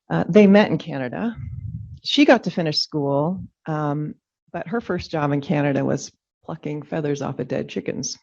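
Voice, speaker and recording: A middle-aged woman, a Danish native speaker, giving a reflective, halting farewell speech as chair of a public meeting. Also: low-pitched, vocal fry, darkish tone. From California. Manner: reflective, halting, with frequent ums. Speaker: commission chair. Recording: dull lo-fi meeting audio.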